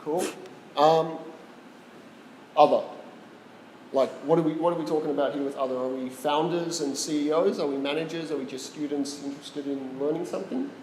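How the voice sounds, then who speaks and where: A man's voice: a little high, moderate pace, darkish tone, slight background noise. Australian male presenter, chatty and casual, in a roomy hall with a long reverb tail. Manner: casual, chatty, asks the audience questions. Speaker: tech talk presenter. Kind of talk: live presentation to a small audience. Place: meeting room with an audience.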